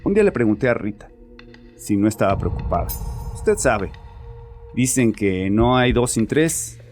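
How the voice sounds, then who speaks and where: A man's voice: mid-range voice, expressive, normal speed, a little mellow, lightly acted. An actor playing a bitter, troubled father confessing defensively and sardonically in a dramatized horror audiobook. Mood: uneasy. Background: music.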